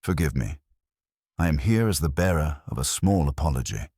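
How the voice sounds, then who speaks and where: A mild, courteous police inspector of a mystery story, acted out by a male narrator. The voice is very low and husky, recorded dry. He speaks with understated formality and gentle care. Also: animated, moderate pace, no echo, full character voice. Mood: mildly formal.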